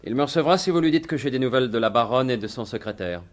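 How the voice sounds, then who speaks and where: An actor playing a confident, wry gentleman thief turned investigator in a French radio mystery in 1950s style, speaking in assured, formal, articulate phrases. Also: mid-range voice, animated, fast talker, natural voice. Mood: composed narration.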